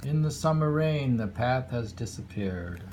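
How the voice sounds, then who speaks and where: A man reading haiku aloud with casual commentary, in an unhurried, musing way with pauses between phrases. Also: mid-range voice, expressive, a little mellow. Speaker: poetry reader and commentator. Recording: clearly noisy home recording with ambience.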